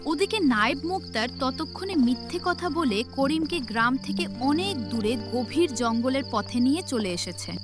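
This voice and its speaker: A woman's voice: sits high, lively intonation, moderate pace, few pauses. A woman narrator reading a scripted story in a dramatic storytelling style. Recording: loud music behind the voice.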